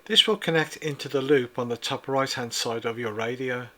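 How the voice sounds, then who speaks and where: A man with a bright, clear tone reads a product review from a script. He talks in a measured, precise way, walking through each feature and button step by step at an even middle pitch.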